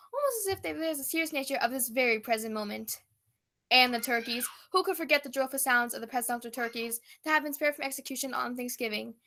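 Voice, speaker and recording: High, sharp, earnest woman playing a lady reporter, overblown, comic radio play. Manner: portentous, overblown. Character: earnest, dramatic female radio news reporter.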